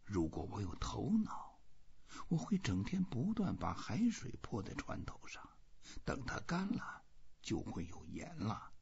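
A man voicing a weary, stoic old fisherman musing slowly to himself in an audiobook. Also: medium pitch, wildly swooping pitch, gravelly, a little mellow, slightly rough, whispered, full character voice. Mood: musing, hushed. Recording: dull, muffled lo-fi audio.

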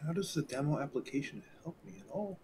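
A man's voice: a little high, quick pace, creaky, crowd noise. A man chatting casually, bantering during a live stream.

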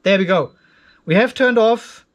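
A man in his fifties with a notably high-pitched voice hosts a casual hands-on test video. He explains what he sees and thinks aloud as he goes. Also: a little slow. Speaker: host of an off-grid solar video channel. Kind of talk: casual product test commentary. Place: cluttered garage workshop.

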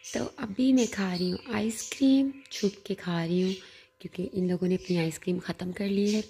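A woman's voice: medium pitch, moderate pace, slight background noise. A young woman, chatting casually to viewers as friends while filming around her home on a phone, with a sore throat. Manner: chatty, warm-hearted, addressing viewers as friends. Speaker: vlogger. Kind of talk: casual home vlog voice-over. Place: home, indoors.

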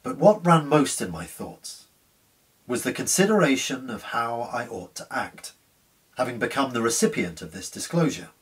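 A man in his fifties reading literary fiction aloud in an earnest, expressive, measured way. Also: medium pitch, husky. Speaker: actor reading a short story. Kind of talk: dramatic literary narration. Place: small living room at home. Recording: slightly distant room microphone.

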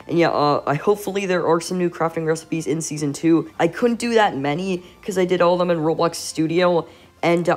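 Stuffy-nosed young man rambling casually. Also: a little high. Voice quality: nasal. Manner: rambling with frequent "uh" fillers. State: congested, stuffy nose. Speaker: gaming YouTuber. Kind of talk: casual gameplay voice-over.

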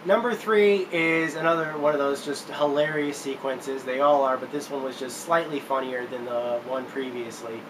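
A man's voice: mid-range voice, steady tone, moderate pace, few pauses. A man in his twenties giving casual countdown commentary to camera as a video game reviewer, recorded in a room at home. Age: 20s. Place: small room at home, indoors. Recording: noticeable background hiss.

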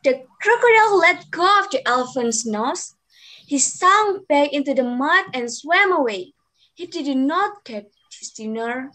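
A young woman narrates a scripted story as a student reader. Her voice is high and bright, with a slow pace. She is on a video call. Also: lively intonation, low-quality recording. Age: young adult.

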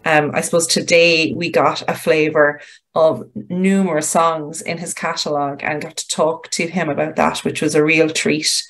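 Lowish-voiced woman in casual interview chat. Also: little pitch movement, moderate pace. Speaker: podcast co-host.

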